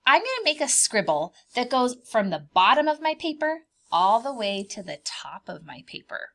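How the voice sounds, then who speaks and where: A woman's voice: a little high, sing-song, moderate pace, a bit bright. A woman preschool teacher leading a children's art lesson step by step, speaking in simple, child-directed language with encouraging questions and repeated phrases.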